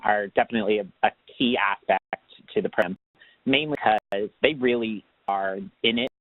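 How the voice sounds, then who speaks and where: A man with a lowish voice explains how his program works in a conversational, rambling way. He comes through dry and close, on a thin telephone-style line. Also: no echo. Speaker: tax assistance program manager. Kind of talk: casual explanatory Q&A answer. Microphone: phone or web conference call. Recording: dry, narrow phone-line sound.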